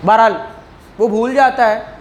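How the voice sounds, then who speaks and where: A man giving a classroom law lecture, explaining in a conversational tone, reading legal text slowly, and acting out courtroom questions. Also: very high voice, fairly even intonation, a little hiss or hum. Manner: explains in Urdu, reads English statute text slowly aloud; acts out courtroom exchanges.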